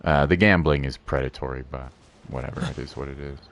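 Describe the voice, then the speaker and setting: A man's voice: very deep voice, fast, a bit bright. A man chatting casually as a game streamer, with an easygoing, rambling and playful way of talking. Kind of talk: casual livestream chat.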